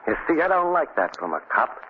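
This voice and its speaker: A man's voice: fairly high pitch, sing-song, a little fast, slightly rough. An American actor playing a vengeful, superstitious condemned gangster with slangy tough-guy talk in a 1940s radio drama. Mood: defiant. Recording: narrow, hissy 1940s radio recording.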